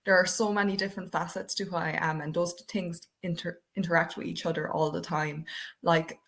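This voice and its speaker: A woman's voice: on the low side, fairly even intonation, fairly quick, fairly bright tone. A young Irish woman with an Irish accent presenting a webinar over a video call, chatty and full of fillers like "um" and "I suppose". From Ireland.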